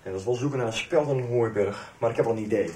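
A man's voice: mid-range voice, very fast, dark tone, natural voice. An actor playing an easygoing, hospitable man in a radio soap, casual and matter-of-fact. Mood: easygoing, practical. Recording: background noise, room ambience.

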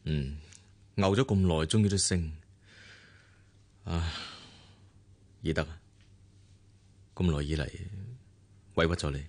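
An actor playing a wealthy businessman boss in a radio drama, calm and coolly dismissive, with a Hong Kong Cantonese accent. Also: a bass voice, slow pace, pauses often, exaggerated voice.

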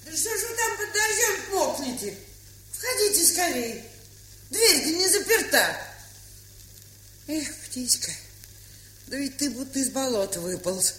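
A woman's voice: high-pitched, sing-song, unhurried, pauses often, a bit bright, exaggerated voice. An actress playing a bossy, affectionate old provincial aunt in a dramatised story, chatty and folksy in her scolding. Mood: bustling, welcoming. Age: older. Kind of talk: dramatised short story, stage-style audio acting. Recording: hissy, crackly vintage 1970s record.

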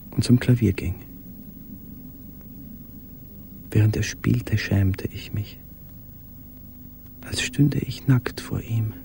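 An actor playing a tormented, lonely man who confesses haltingly in an intimate radio play monologue, often sinking toward a whisper. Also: on the low side, vocal fry, dark tone, slight background noise. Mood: ashamed, confiding.

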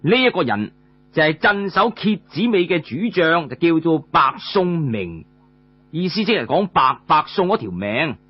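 A man's voice: on the high side, very animated, measured pace, slightly rough, dull audio. A man with a Cantonese accent telling a story in traditional audiobook style, with dramatic, colloquial delivery.

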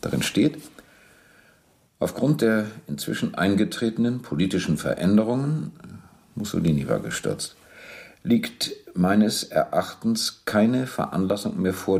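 A man's voice: low-pitched, vocal fry, a little mellow, exaggerated voice. A male actor in a German radio play, playing a persistent, controlled police captain who interrogates with precise, probing questions. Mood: formal, factual.

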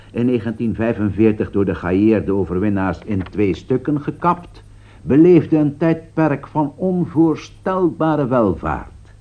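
A grave political commentator in a radio play, acted by a man, addresses his listeners slowly and deliberately. His voice sits at a middle pitch and his phrasing is solemn and rhetorical. The recording is dull and lo-fi. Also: lightly acted. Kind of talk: scripted radio drama commentary.

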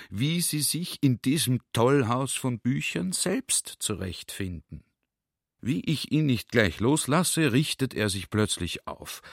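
A man's voice: on the low side, fairly bright tone, no echo, studio quality. An actor playing a garrulous, naively sly army general who tells his adventure in a chatty, emphatic radio play monologue. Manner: chatty, emphatic storytelling, drawn-out quoted speech.

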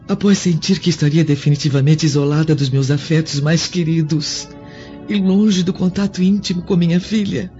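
A woman playing a gentle, devout, suffering Roman noblewoman in a radio drama, speaking solemnly and formally. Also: sits low, close to an everyday voice. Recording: dull lo-fi audio with music behind.

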